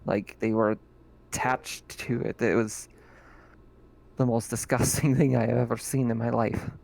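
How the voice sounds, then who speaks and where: Casting: man, mid-pitched, easygoing game master narrating a tabletop game. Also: a little slow, gravelly. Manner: relaxed, filler-heavy description. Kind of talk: running a tabletop roleplaying game.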